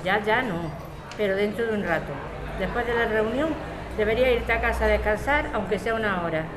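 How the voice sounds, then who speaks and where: Woman as practical daughter amid clear crowd chatter. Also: mid-range voice, a little fast, lightly acted. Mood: placating. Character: practical adult daughter helping at a bar. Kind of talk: scripted amateur radio drama.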